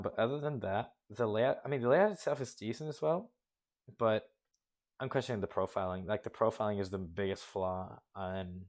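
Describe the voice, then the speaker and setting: A man's voice: fairly low pitch, darkish tone. A young man hosting a casual contest review, rambling through frank critique with many fillers. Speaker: host judging a coaster-building contest. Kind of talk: casual commentary over gameplay.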